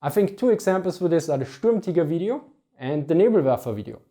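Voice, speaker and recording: A man from Austria, a native German speaker with an Austrian German accent, hosting a history channel and explaining things in a measured way, sometimes correcting himself. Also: fairly high pitch, lively intonation, warm tone. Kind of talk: reflective talk to camera.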